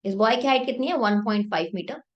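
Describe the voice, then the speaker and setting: Young female math tutor, sore throat, fairly high voice, talks through each step and answers her own questions. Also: fast, dull audio. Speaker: math teacher. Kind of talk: Hindi-English math lesson.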